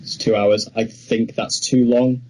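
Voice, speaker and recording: A man's voice: mid-range voice, little pitch movement, unhurried, warm tone, slight background noise, poor audio. A young man co-hosting a podcast, talking casually and chattily about films with firm opinions. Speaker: podcast co-host. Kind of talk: casual film discussion.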